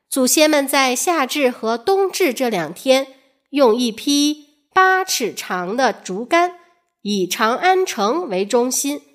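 Lively, higher-voiced woman narrating for children. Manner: addresses young listeners directly with rhetorical questions and soft sentence particles. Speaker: children's storyteller. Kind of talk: scripted educational narration for children.

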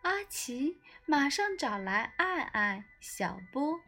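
A woman reads a children's tale with a bright, animated delivery. She takes her time, shaping each phrase expressively for young listeners, with soft music behind her. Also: high voice, takes it slowly. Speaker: children's story narrator. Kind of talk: expressive storybook narration for children.

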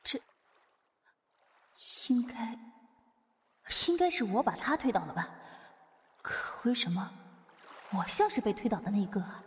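A woman's voice: fairly high pitch, big pitch swings, measured pace, pauses often. A woman playing a shy, earnest, lovestruck young female Taoist in a wuxia audio drama, speaking formally and hesitantly. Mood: shy, dazed. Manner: flustered, hesitant, formal archaic speech. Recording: long reverb, music behind. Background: music.

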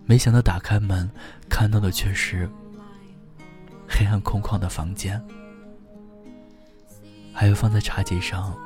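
Tender young boyfriend, deep, even-toned, intimate radio drama delivery over guitar music. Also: male voice. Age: young adult. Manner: soft, tender, unhurried, breathy phrase endings. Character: gentle, devoted young boyfriend, wistful and heartbroken. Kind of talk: intimate first-person radio drama narration. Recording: music behind the voice.

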